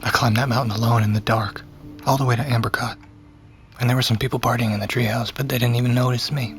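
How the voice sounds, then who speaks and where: A man's voice: mid-range voice, steady tone, fast, faint music behind, natural voice. A young man with an American accent playing a troubled, obsessive, sardonic character in a naturalistic audio drama. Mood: subdued, rueful.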